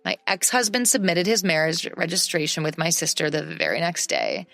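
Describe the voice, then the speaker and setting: Woman storyteller; low gravelly narration, dry close mic. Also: normal speed, dry recording, soft background music. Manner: steady storytelling read. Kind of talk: scripted first-person story narration.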